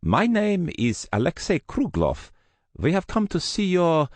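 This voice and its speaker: A man's voice: fairly high pitch, huge pitch swings, measured pace, dry recording, pitched above the performer's own voice, exaggerated voice. Man putting on a cold Russian gang boss. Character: cold menacing Russian male gang leader.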